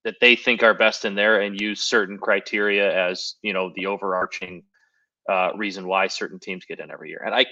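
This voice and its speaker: A man's voice: on the low side, pushed and bright. A man co-hosting a sports podcast, talking casually and making his point in a conciliatory way, on slightly lo-fi audio.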